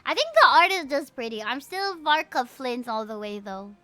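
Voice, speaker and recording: A woman's voice: high-pitched, sing-song, moderate pace, sharp tone, soft background music. A woman livestreaming a video game in a casual, playful, gushing fangirl manner, chatting to her viewers. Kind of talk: casual livestream chat and reading game dialogue. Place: livestream over game audio.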